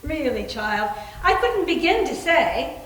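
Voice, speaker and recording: A woman playing a self-important, married spirit medium in a readers theater, with a sharp, theatrical and deliberate manner. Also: high-pitched, very animated, heavily acted. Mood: brisk, condescending. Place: reverberant room at a library reading. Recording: distant echoey room, noisy.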